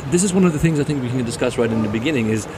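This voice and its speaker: A man talks about cars at a motor show, stumbling over his words and repeating himself. His pitch is middle of the road. The noise of the busy hall comes through clearly. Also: animated, rapid-fire. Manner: hesitant, lots of fillers and repeats. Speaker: car show reviewer. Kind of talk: casual walkaround chat. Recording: noisy show floor.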